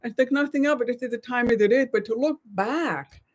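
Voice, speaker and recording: A woman's voice: a little high, brisk, a little mellow. An American woman in her sixties co-hosting a live show with casual, reflective chat. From United States. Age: 60s.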